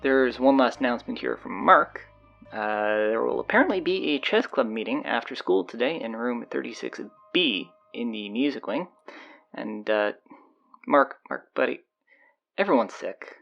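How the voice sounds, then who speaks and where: A flustered, sardonic stand-in announcer acted by a man rambles through high school morning announcements in an audio drama. The delivery is casual and hesitant, with lively swings in pitch and a creaky edge. The sound is slightly dull and lo-fi. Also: normal speed, faint music behind. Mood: casual, rambling.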